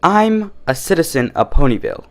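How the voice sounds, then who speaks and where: A courteous, exacting stallion from a cartoon story performed by a man. He speaks formally and primly, with lively rises and falls in pitch. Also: fairly quick. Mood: fussy. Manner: formal, prim. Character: polite, fussy gentleman stallion pony, precise customer. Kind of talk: cartoon fan-fiction character dub.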